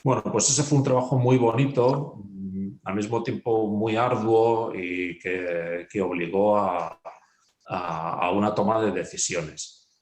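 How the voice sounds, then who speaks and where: Deep-voiced hesitant man in an echoey room. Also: slow pace, darkish tone. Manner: hesitant, many fillers and restarts, ends phrases with a questioning tag. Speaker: author being interviewed. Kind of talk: reflective conversational answer.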